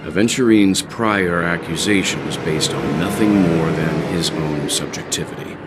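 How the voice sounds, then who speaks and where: An actor playing a composed, analytical mature man in a video game, speaking in a measured, formal way. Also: low voice, lightly acted. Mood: grave, reasoning. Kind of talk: video game cutscene acting. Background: background music.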